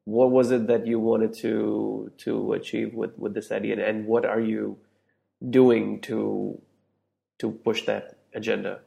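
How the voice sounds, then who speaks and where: A man's voice: on the low side, flat delivery, a little slow, creaky, mellow. A man hosting a podcast interview over a remote call, asking questions in a casual, loose way and rephrasing them as he goes. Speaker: podcast host.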